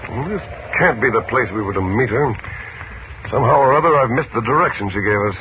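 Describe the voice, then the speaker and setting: Actor for a reassuring, decisive uncle, even-toned, dull old radio sound. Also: male voice, mid-range voice, a little fast, natural voice. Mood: steady. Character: calm, steady adult man leading the family. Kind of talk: 1940s radio acting. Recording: muffled old 1940s radio recording with hiss.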